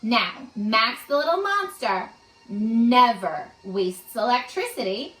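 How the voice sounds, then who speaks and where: A woman reading a children's story aloud in an expressive, teacherly manner. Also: on the high side, slow, reverberant, poor audio. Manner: expressive, asks the young listeners questions.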